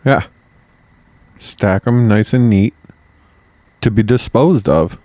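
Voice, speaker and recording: A man's voice: on the low side, takes it slowly, mellow, no echo. A man co-hosting a casual history podcast, blunt and joking, often acting out quotes. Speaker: podcast co-host.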